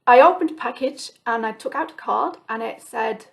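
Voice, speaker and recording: Chatty woman, slightly high voice. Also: normal speed, vocal fry. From Switzerland. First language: Swiss German. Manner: chatty, reflective, many ums and restarts. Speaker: flosstube host. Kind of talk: casual rambling chat to camera. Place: home, alone.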